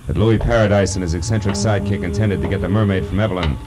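An American actor playing a tough, wry private detective in 1940s radio noir, clipped and sardonic. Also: male voice, low voice, quick pace, background noise, close to an everyday voice. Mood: tense, brooding. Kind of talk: 1940s radio noir acting and first-person narration. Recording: old radio broadcast.

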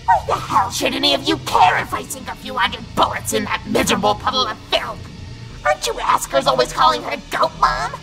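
A woman voicing a bitter, volatile talking flower in an animated comic dub, snapping with heavy emphasis. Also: high-pitched, a little fast, gravelly, over music, heavily acted, rough recording. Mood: furious.

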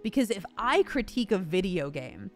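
A woman's voice: mid-range voice, very animated, normal speed, soft background music. A woman talking casually as a voice actor and host, acting out imagined quotes as she explains things. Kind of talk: casual conversational discussion.

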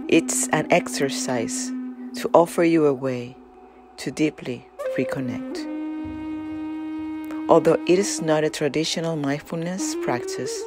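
A meditation guide speaks at a very unhurried pace, with gentle, deliberate instructions. Loud flute music plays throughout behind the voice. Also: mid-range voice. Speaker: meditation guide, channel host.

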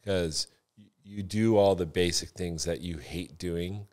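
Male, lowish pitch, assertive and emphatic ex-salesman swapping stories in loose, casual talk. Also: measured pace, darkish tone. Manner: assertive, emphatic, repeats himself, swears casually. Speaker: former car salesman and floor manager, podcast talker.

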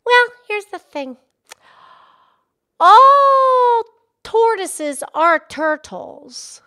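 A woman voicing a cheerful, excitable young pig puppet with a childlike, folksy manner in a children's puppet show. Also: extremely high pitch, drawn-out words, exaggerated voice. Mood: eager, explaining. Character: cheerful, chatty young pig puppet explaining animal facts.

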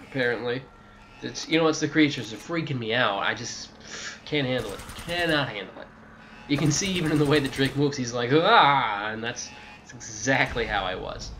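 A man talks through a video game as a casual walkthrough host. His pitch is middling and he rambles, backtracking and tossing in jokey asides. Some music plays behind him. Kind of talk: casual gameplay walkthrough commentary.

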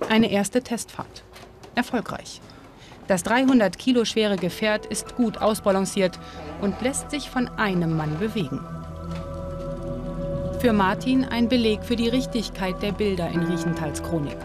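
A woman narrating a documentary in a calm, measured, informative way. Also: mid-range voice. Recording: voice-over with music behind. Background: music.

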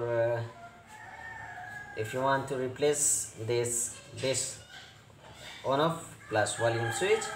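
A man who is not a native English speaker, presenting a hands-on repair tutorial in short, halting phrases with many hesitations. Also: mid-range voice. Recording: roomy echo with clear background noise.

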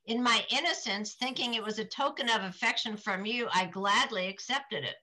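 Distressed betrothed daughter for a play reading, mature woman performing, sharp bright tone, earnest formal delivery. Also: fairly high pitch, moderate pace, low-quality recording. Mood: troubled, earnest. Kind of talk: stage play reading over video call. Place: small home room, video call.

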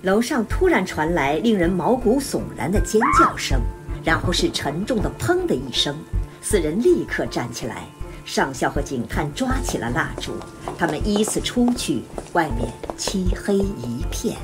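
Woman narrating a radio play, lower voice, very expressive, music playing behind. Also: moderate pace. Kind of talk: scripted radio drama narration.

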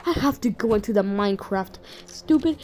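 A woman's voice: medium pitch, animated, normal speed, mellow, natural voice. A woman voicing a frustrated, obsessive cartoon stick-figure inventor in an improvised comedic fan dub, muttering and swearing. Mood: muttering, absorbed. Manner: muttering, profane. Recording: music playing behind the voice. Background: background music.

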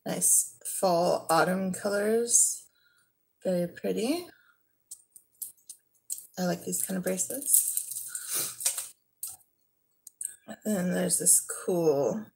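Slow, gravelly woman showing thrift finds. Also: lowish voice, darkish tone. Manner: unhurried, trailing off while handling items. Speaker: vlogger. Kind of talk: casual thrift haul show-and-tell. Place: living room at home.

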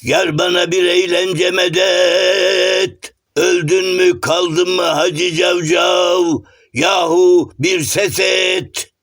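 A man voicing a grumpy, blunt, mocking folk clown in a children's cartoon, with rough, exclamatory comic retorts. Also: high-pitched, level tone, extremely slow, a bit bright, faint music behind, full character voice. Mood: grumpy, exasperated. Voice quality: gravelly, rough.

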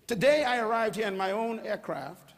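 High-voiced Bahamian preacher in a reverberant hall. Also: male voice, expressive, normal speed. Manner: preaching with call-and-response, rising to shouts. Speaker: pastor. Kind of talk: sermon. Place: large auditorium stage. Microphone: handheld mic.

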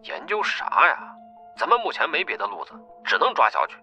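Man as a sharp, stubborn gangster on the phone. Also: medium pitch, huge pitch swings, a little fast, soft background music, pitched above the performer's own voice, full character voice. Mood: insistent. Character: stubborn, determined young gang man on phone. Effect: telephone filter. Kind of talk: audiobook character acting. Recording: dull phone-filtered sound.

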